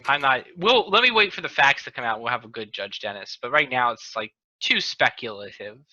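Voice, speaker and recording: A man's voice: medium pitch, expressive, fairly quick, bright, forceful tone, no room sound. A man hosting a casual group chat over a video call, often repeating and correcting himself as he goes. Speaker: podcast host. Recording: dull, lo-fi video call audio.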